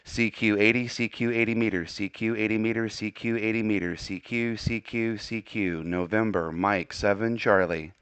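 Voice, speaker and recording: A man's voice: lowish voice, steady tone, moderate pace, hardly any pauses. An American man working as an amateur radio operator, speaking courteously in a casual on-air radio style. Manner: courteous, spells with phonetic alphabet.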